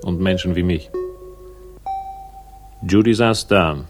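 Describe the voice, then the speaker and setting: A man's voice: low voice, slow pace. A man acting in a 1960s German radio crime drama as a wry, laconic investigator, narrating and talking with dry irony. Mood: wry, reflective. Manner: dry, ironic, literary phrasing. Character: wry, laconic male investigator narrating his own case. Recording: vintage radio drama, music behind.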